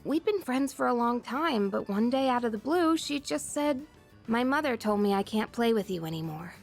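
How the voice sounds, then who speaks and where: A woman voicing a friendly, earnest, slightly shy teenage schoolgirl in a video game, soft-hearted and reflective. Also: a little high, expressive, moderate pace, vocal fry. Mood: wistful. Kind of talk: English video game voice acting. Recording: background music under the voice.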